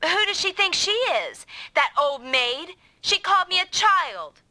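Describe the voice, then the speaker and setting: A woman's voice: extremely high pitch, big pitch swings, a little slow, sharp tone, no room sound, heavily acted. A woman voicing a touchy, sulky young girl in an anime-style video game dub. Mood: indignant. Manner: sulky, petulant. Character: pouty, touchy young girl riding along as passenger.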